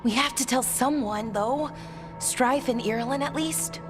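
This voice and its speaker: An actress playing an anxious, earnest young elf in a fantasy video game, with a questioning, unguarded manner. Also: female voice, sits high, measured pace, over music. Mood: urgent.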